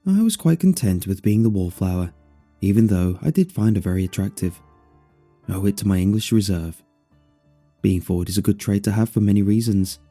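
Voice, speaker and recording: A man with a low, deeply mellow and slightly husky voice narrates a scripted horror story in the first person. His delivery is measured and expressive, with lively rises and falls in pitch. Faint music plays behind him.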